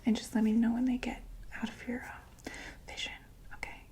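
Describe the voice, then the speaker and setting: Young woman, ASMR roleplay doctor, soft raised whisper, slow and soothing bedside manner, very close mic. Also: a little high, little pitch movement. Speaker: ASMR creator playing a monster doctor.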